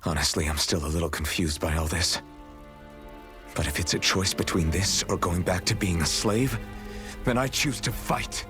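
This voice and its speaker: Earnest, low-voiced young hero. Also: male voice, normal speed, fairly bright tone. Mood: hesitant, earnest. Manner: sincere, questioning. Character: earnest, puzzled young male escaped slave, amnesiac hero. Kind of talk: acted video game cutscene dialogue. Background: music.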